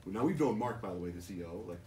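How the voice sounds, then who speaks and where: A man in his forties hosts a radio interview, with a very deep voice and a relaxed, chatty way of talking. A distant mic in a roomy studio catches him, with steady noise behind. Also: racing. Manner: loose, conversational. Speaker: morning radio host. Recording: echoey studio, far camera mic, noisy.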